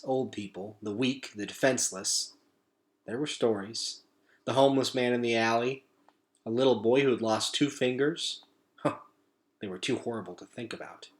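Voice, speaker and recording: A man narrating a children's novel expressively as a read-aloud storyteller. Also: mid-range voice.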